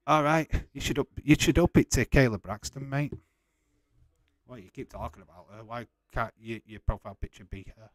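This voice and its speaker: A man in his forties with a Yorkshire accent chats casually as a livestream host. His voice is mid-pitched, and his delivery is blunt, chummy and informal. The sound is dry, with very little room echo. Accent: Yorkshire English. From West Yorkshire, England. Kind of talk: casual watch-along chat, reading out viewer comments.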